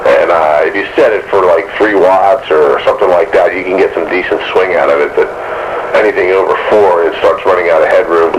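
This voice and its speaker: Male host, very low register, casual technical radio chatter, clearly noisy transmission. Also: fairly even intonation, brisk, dull audio. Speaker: host of a radio enthusiasts' call-in roundup. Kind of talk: casual technical chat over two-way radio.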